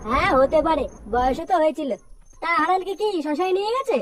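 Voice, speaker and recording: A woman voicing a bossy, irritable village man in a comedy cartoon, scolding and foul-mouthed, with a pitched-up cartoon sound. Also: expressive, fast talker, distant mic, slight background noise. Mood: surprised, brusque.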